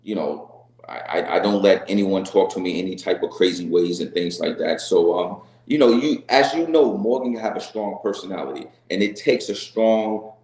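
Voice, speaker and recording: Middle-aged man, deep voice, sincere guest, halting delivery, echoey room. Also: a little mellow. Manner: earnest, repeats words and restarts, says "right?" often. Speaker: reality show guest. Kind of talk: candid interview answers.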